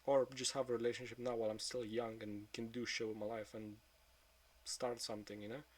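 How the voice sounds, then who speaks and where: A husky-voiced man of twenty-two talks to camera in a flat, nearly monotone way. He is blunt and swears often, and his sentences keep sinking into quiet mutters. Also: medium pitch, brisk. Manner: subdued, trailing off into quieter mutters; frank, with frequent swearing. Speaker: vlogger. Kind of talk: confessional monologue.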